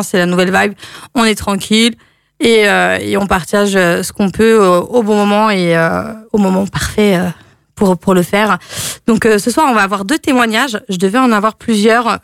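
A woman's voice: mid-range voice, creaky, no echo. Woman hosting a local radio chat, stumbles and hesitates a lot, mid voice, dry close mic. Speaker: radio show host. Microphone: studio microphone.

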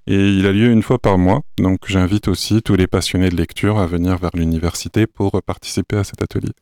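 Low smooth voice, writing workshop guy, frank, dry studio mic. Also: steady tone, fairly quick, a little mellow, dry recording. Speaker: writing workshop leader, guest. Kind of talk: radio interview.

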